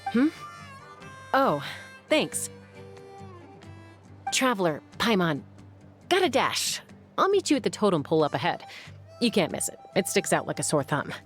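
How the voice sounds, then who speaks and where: A woman's voice: lowish voice, very animated, measured pace, long pauses. An actress playing a cool, confident young woman warrior and peacemaker, wry and composed, in a video game. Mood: breezy, hurried. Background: background music.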